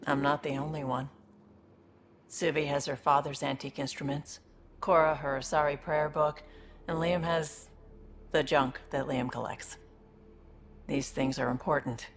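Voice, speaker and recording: Video game role: hardened woman wanting an honest fresh start, deep gravelly delivery, plain-spoken. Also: fairly even intonation, unhurried, pauses often, background noise. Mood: assured. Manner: blunt, informal, reflective.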